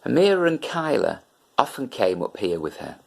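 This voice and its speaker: A man's voice: mid-range voice, big pitch swings, normal speed, creaky. A man reading a children's story aloud as narrator. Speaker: audiobook reader.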